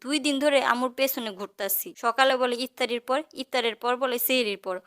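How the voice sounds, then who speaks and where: Woman playing a shrill, fast-talking cartoon girlfriend. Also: a bit bright, smooth, lightly acted. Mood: chatty grumbling. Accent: Bangladeshi. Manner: chatty, colloquial. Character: cheeky, flattering teenage girl friend. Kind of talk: cartoon comedy dialogue.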